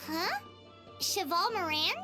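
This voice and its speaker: A woman voicing a tiny, childlike fairy companion in a video game, cheeky, chatty and expressive. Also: very high-pitched, slow pace, over music. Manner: cheeky, expressive, third-person childlike talk. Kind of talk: video game character acting.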